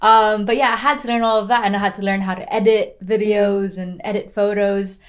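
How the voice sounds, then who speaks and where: Chatty young woman of twenty as a guest, many fillers, Tagalog speaker, mid-pitched voice. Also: fairly even intonation, a little fast. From Philippines. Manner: chatty, many "like" and "you know" fillers, laughs often. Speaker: podcast guest. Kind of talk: casual interview chat.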